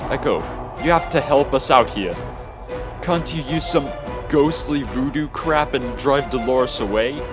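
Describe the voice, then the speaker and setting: Man playing teen schoolboy, cheeky, excitable, exclamatory, raised pitch, visual novel, soft music. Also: a little slow, natural voice. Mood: urgent pleading.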